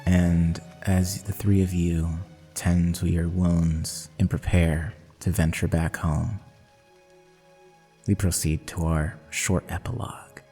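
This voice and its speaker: A man serving as game master, narrating a tabletop story in a solemn, deliberate, dramatic way. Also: sits low, little pitch movement, dark tone. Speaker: game master of an actual play podcast. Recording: long reverb under music.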